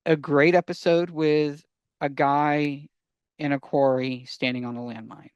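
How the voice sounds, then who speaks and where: Man, slightly high voice, podcast co-host, emphatic explainer, self-answered questions, casual talk, dry close sound. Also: steady tone, a little slow, clean tone, no echo, highs cut off.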